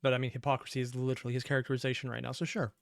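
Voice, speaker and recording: A man's voice: medium pitch, level tone, racing, vocal fry, no echo, clean studio sound. A man hosting a reaction video, giving informal, opinionated, analytical commentary off the cuff.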